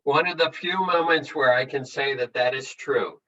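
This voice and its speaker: A male host making relaxed small talk and introducing a guest on a video call. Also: medium pitch, moderate pace. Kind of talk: casual video call chat. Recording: distant, dull video call audio.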